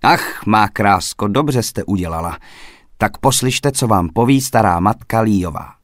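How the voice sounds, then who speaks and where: A man voicing a fierce old female fortune-teller who gives slow, insistent, ominous warnings. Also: on the low side, animated, no echo, clean studio sound, natural voice. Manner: menacing, insistent warnings, drawn-out slow phrases.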